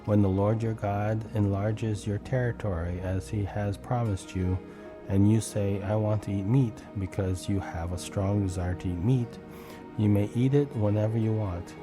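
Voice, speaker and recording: A man's voice: fairly low pitch, fairly even intonation, normal speed, music in the background. A man reading Bible text aloud as scripted narration, occasionally stumbling over the words. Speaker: scripture reader.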